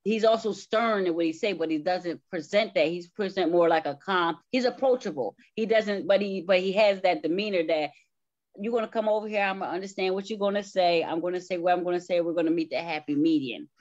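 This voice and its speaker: A woman's voice: on the low side, brisk, darkish tone. Woman play cast guest chatting in interview, repeats stuff for emphasis, muffled lo-fi sound. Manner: emphatic and repetitive, builds on phrases. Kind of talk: casual talk show interview.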